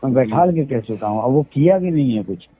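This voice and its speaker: A man's voice: medium pitch, lively intonation, a little fast, slightly rough, dry recording, soft background music. A man, explaining himself at length on a phone call and citing religious sayings to defend his actions. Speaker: event organizer on a phone call. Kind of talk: heated phone conversation. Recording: tinny phone call recording.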